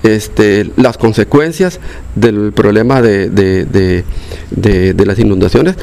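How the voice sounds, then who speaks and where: A man's voice: on the low side, slow pace, creaky, a little mellow. Hesitant older Costa Rican man. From Costa Rica. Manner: hesitant, many fillers and restarts. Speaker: local resident interviewed. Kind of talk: field interview, casual. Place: outdoors in a neighborhood street.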